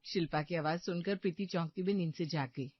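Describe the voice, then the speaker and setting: A woman's voice: lowish voice, a little fast, dry recording, lo-fi sound. A woman narrator reading a scripted cartoon story.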